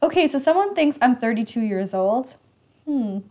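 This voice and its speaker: A woman of twenty-five, a little raspy today, hosting a live stream and chatting as she reads comments, teasing and laughing often. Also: on the high side, animated, normal speed, darkish tone. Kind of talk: casual live stream chat reading comments. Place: indoor room with leather couch.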